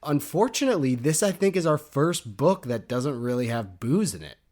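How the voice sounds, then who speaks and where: A man's voice: medium pitch, normal speed. A young man co-hosting a book podcast, chatting casually and jokily, laughing often. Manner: jokey and animated, laughs often. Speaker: podcast co-host.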